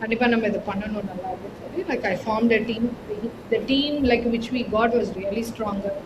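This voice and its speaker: A woman with a Tamil Indian accent, telling her experiences conversationally in an interview, with emphatic phrasing and frequent verbal tags. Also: very fast. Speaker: HR professional, interview guest. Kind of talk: conversational interview, code-switching storytelling. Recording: clearly noisy with low rumble.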